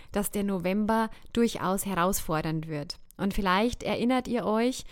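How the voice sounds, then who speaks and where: A woman's voice: mid-range voice, no room sound, clean studio sound. A woman hosting her own podcast as an astrologer, talking candidly and laughing often, a little stuffed up from a cold. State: slightly stuffed-up nose from a cold. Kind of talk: casual solo podcast talk.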